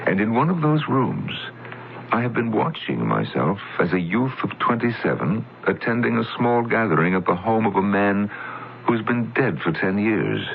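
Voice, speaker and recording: An actor playing a university doctor who narrates his journal in a measured, reflective way in a 1970s radio drama. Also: on the low side, big pitch swings, vocal fry, slight background noise. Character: middle-aged university scientist man, shaken and wondering. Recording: tinny, phone-like old radio broadcast with faint music.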